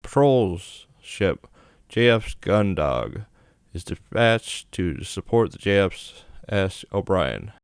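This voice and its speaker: A man's voice: low voice, very animated, slow pace, clear, resonant tone, dry recording, clean studio sound. A man hosting a gaming video, narrating a playthrough in a casual, plainspoken way. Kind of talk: game playthrough commentary, reading on-screen story text. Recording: music behind the voice. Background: game music.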